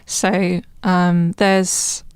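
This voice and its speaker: A woman on a discussion panel, talking casually but articulately in measured, well-formed sentences. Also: on the low side, level tone, clear, resonant tone, no echo. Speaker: podcast panellist.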